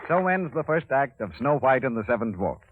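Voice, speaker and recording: An American man announces in vintage radio style with a medium-pitched, slightly rough voice. His delivery rises and falls animatedly, through narrow, tinny old broadcast sound. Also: very animated, brisk, background noise. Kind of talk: 1930s scripted radio announcing and advertising. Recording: narrow, husky-sounding 1930s radio recording.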